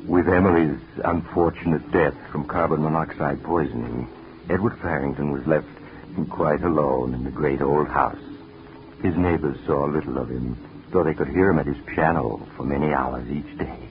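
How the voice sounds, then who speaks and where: Very deep, ominous radio storyteller. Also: male voice, background noise. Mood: darkly wry. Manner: deliberate, theatrical, darkly wry. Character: ominous, wry storyteller of a mystery tale. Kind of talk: 1940s radio mystery narration. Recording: muffled 1940s radio recording. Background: dramatic music.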